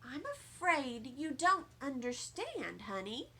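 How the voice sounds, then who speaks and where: A woman voicing a gentle, patient storybook mother, speaking softly and firmly to her small child. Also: high-pitched, wildly swooping pitch, noisy background, exaggerated voice. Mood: patient, explaining. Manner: soft, measured, firm.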